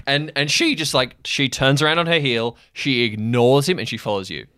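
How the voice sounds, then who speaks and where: A man with a bright, crisp voice narrates an improvised audio drama as game master. He is casual and conversational, building the scene in halting pieces, and the sound is dry. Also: medium pitch, dry recording.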